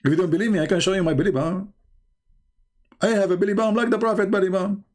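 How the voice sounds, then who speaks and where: A man's voice: high-pitched, steady tone, rapid-fire, a little mellow. A man with an Arabic accent hosting a live debate stream, taunting and sarcastic, laughing at his own jokes. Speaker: livestream debate host. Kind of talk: mocking livestream debate.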